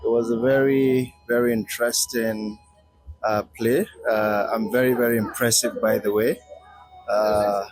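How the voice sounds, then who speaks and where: A man giving an impromptu testimonial, hesitant and halting, often repeating words. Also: fairly low pitch, flat delivery, poor audio. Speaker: audience member giving feedback. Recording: music behind the voice. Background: music.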